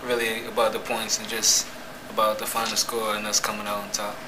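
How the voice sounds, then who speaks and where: A man's voice: fairly low pitch, monotone, fairly quick. A young man, a college basketball player, giving hesitant, modest answers at a postgame press conference. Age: young adult. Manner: hesitant, modest replies with fillers. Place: press room in front of a team backdrop. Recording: noisy press room audio.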